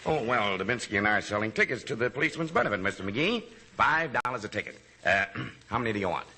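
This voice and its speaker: An actor playing a matter-of-fact, terse and dry police detective in a 1940s radio comedy. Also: male voice, lowish voice, lively intonation, fast talker, sharp tone. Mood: businesslike. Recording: hissy old radio broadcast.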